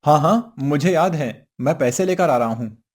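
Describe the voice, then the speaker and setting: A woman doing a husky, humble old father. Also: low voice, lively intonation, moderate pace, darkish tone, pitched below the performer's own voice. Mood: pleading. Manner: pleading, apologetic. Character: worried, humble older father of the bride.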